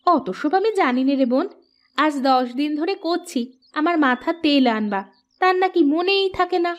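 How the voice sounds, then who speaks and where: A woman voicing a nagging but caring village housewife in a cartoon, with an expressive, chiding manner. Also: high-pitched, moderate pace, muffled recording. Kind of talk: cartoon voice acting.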